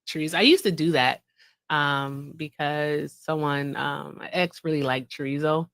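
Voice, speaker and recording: A woman's voice: deep voice, a little slow, a bit bright, no room sound, studio quality. A woman in her late thirties hosting a casual livestream, chatty and laughing often, calling her viewers y'all. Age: 30s. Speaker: livestream host.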